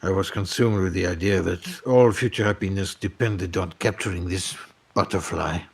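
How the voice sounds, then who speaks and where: A man's voice: deep voice, normal speed, gravelly, breathy edge, heavily acted. A man playing a wealthy, pompous French film producer, lovesick and vengeful, telling his story in florid, melodramatic audio drama. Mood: smitten, grandiose. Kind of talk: dramatic first-person storytelling in audio fiction.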